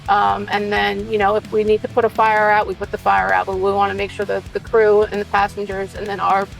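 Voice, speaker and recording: A woman's voice: medium pitch, monotone, brisk, gravelly, soft background music, poor audio. A woman giving an unscripted, conversational answer in an interview. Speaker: interviewee, crew member.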